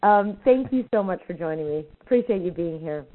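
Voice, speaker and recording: A woman's voice: lowish voice, lively intonation, brisk. A woman hosting a spiritual talk show, effusive and a little rambling as she interviews a guest. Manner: warm, effusive, slightly rambling. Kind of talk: radio interview. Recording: thin phone-like line.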